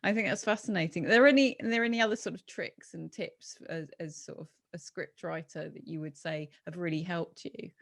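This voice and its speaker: A woman's voice: fairly low pitch, a little fast, creaky, few pauses. A woman hosting a conversational interview, talking in an enthusiastic, affirming way. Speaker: podcast host and interviewer.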